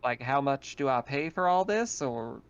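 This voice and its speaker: A man's voice: medium pitch, animated, moderate pace, smooth. A man casually narrating a tabletop game as game master over online voice chat. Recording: slightly dull online voice chat.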